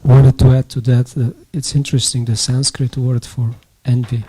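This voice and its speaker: A man's voice: medium pitch, level tone, moderate pace, dark tone, husky. A man in a class explaining the meaning of a term. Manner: explanatory, citing terms. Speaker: class participant.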